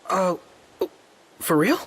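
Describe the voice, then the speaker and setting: High, excitable boyish sidekick. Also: male voice, drawn-out words, slightly rough, heavily acted. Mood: anxious, hopeful. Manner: informal, anxious, excitable. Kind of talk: video game voice acting.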